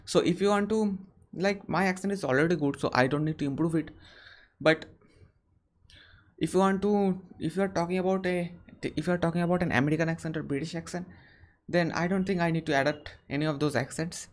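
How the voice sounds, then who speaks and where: Earnest young man, halting live Q&A. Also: on the high side, fairly even intonation. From India. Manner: earnest, halting, frequent restarts. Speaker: English-learning channel host. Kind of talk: live-stream Q&A. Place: small room indoors.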